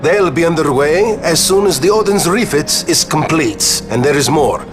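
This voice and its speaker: An eloquent, formal nobleman played by a man in a fantasy game drama. His delivery is theatrical and articulate, with a widely swinging pitch. Music plays prominently behind him. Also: expressive, normal speed, lightly acted. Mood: urgent, emphatic. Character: eloquent adult nobleman giving a grave war briefing. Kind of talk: dramatic video game voice acting.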